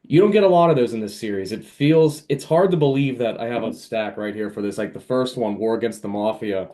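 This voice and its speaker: A man's voice: medium pitch, brisk, mellow. A man, an author appearing as a podcast guest, chatting casually and enthusiastically like a fan. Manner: enthusiastic, conversational fan talk. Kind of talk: casual interview chat.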